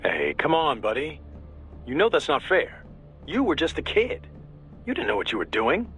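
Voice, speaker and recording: An actor playing a friendly male mission-support briefer in a video game, husky, American, talking in a casual, musing way. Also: a little high, wildly swooping pitch, moderate pace, a bit bright, faint music behind, exaggerated voice. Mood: reassuring. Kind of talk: video game radio dialogue.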